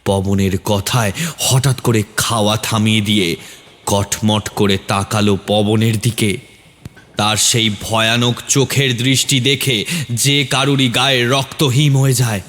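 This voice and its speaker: A man's voice: on the low side, steady tone, a little slow, fairly bright tone. A man narrating a scripted horror story in a dramatic, suspenseful manner.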